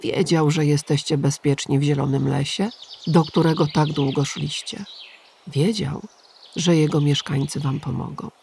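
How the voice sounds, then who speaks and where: A woman voicing a tired, kind old grey male pigeon who calmly reassures a child in a storybook. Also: sits low, normal speed, vocal fry, slight background noise. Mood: reassuring. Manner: calm, gentle and reassuring.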